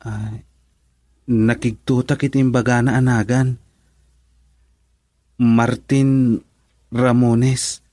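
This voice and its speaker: A man acting a dutiful employee who addresses his boss with respect. It is radio drama, in a medium-pitched voice with a dry, close sound. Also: level tone, slow, pauses often, a little mellow, no room sound, natural voice. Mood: deferential.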